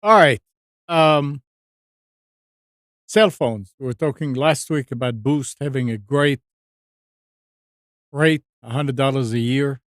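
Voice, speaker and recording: A man hosting a casual tech news discussion, partly reading out items in a halting way with repeated words. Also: medium pitch, dry recording, clean studio sound. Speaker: talk show host.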